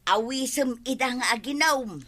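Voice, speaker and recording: An actress playing a pushy, scheming village wife with a bossy, insistent manner in a radio comedy drama. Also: female voice, high-pitched, normal speed, fairly bright tone.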